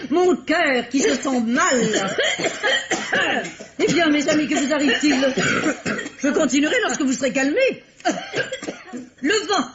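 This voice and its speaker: Bossy society lady, very high swooping voice, affected, declamatory, old muffled radio drama. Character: pompous, bossy lady president of a knitting circle. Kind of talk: old French radio mystery acting. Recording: dull, noisy old radio recording.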